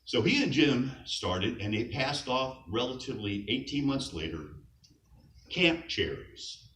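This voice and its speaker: A man's voice: medium pitch, sing-song, slow, fairly bright tone, recorded from a distance. A middle-aged man, a scout leader, gives an informal eulogy in a conversational way, sharing light anecdotes and chuckling now and then. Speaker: scout leader speaking at a memorial. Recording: echoey hall, speaker off-mic, faint noise.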